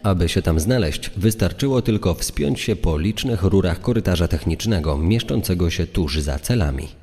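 A man's voice: low voice, lively intonation, normal speed, mellow. A man reading scripted documentary narration in a recording with long reverb. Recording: long reverb over background music.

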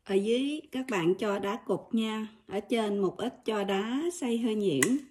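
A woman's voice: medium pitch, unhurried, a little mellow, a little hiss or hum. A woman with a Southern Vietnamese accent giving a chatty, step-by-step kitchen demonstration and talking straight to her viewers. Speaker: cooking vlogger. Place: home kitchen, indoors. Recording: kitchen clatter of dishes and utensils.

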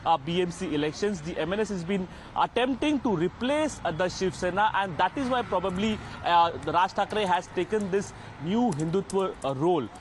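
High-pitched Indian man reporting live outdoors. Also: animated. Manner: halting, repeats and restarts phrases. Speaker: news reporter. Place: outdoors on a city street. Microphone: handheld reporter microphone. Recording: outdoor live link with street noise.

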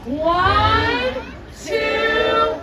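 Young lady doing kids story time, slow n encouraging, echoey far off outdoor recording. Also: extremely high pitch. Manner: exaggerated, encouraging, addressing children. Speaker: theme park storyteller. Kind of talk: animated children's story time hosting. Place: outdoor theme park stage, crowd behind. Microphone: picked up by distant camera. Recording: distant, echoey outdoor crowd, loud noise.

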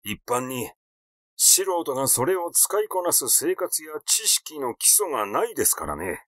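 A man's voice: medium pitch, sing-song, unhurried, fairly bright tone, no echo, clean studio sound. A man voicing a cautious, defensive male doctor in an audiobook, formal and dismissive in manner. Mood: evasive, defensive.

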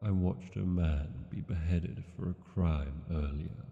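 Male actor as haughty dragon king, fantasy audio roleplay, dark velvety low tone, muffled, long cavernous reverb. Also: steady tone, gravelly. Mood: troubled, subdued. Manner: slow, deliberate, pausing, haughty. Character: arrogant, brooding male dragon king reading his journal.

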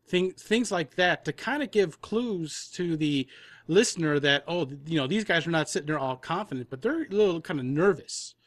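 A man's voice: on the high side, lively intonation, moderate pace, no echo. A man talking casually as an interview guest, thinking aloud as he explains his points. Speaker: audio drama producer, panel guest.

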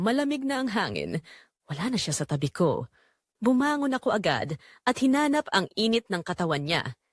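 Woman narrating as wistful young man, expressive pitch. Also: dry recording, clean studio sound. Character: wistful young man recalling a secret romance. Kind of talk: scripted first-person audio drama narration.

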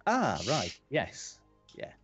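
A man's voice: mid-range voice, huge pitch swings, slow pace, music in the background. A man from West Yorkshire with a Yorkshire accent, hosting a casual game webcast in a chatty, rambling way with lots of fillers. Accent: Yorkshire English. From West Yorkshire, England. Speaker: podcast host. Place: home room lit red.

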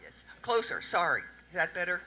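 Bright-voiced Southern woman quizzing a crowd. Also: on the low side, normal speed. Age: middle-aged. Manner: lively, quizzing the audience with questions. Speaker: tax accountant presenting at a panel. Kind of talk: interactive talk to a live audience. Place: event room, indoors. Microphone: handheld microphone.

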